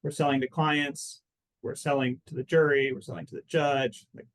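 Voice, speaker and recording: A man's voice: mid-range voice, normal speed. Male guest in his thirties from Phoenix; mid-range voice, easygoing and talkative. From Phoenix, Arizona. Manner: relaxed and chatty, lots of "like" and "I mean". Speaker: personal injury lawyer, guest. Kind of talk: casual interview answers.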